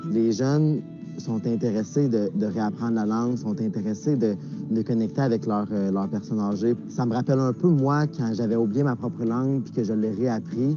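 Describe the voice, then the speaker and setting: A man's voice: on the low side, moderate pace. A man from northern Quebec giving an earnest, reflective testimony in a documentary clip. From Nunavik, Quebec. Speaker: expedition participant. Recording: dull lo-fi video clip with guitar music.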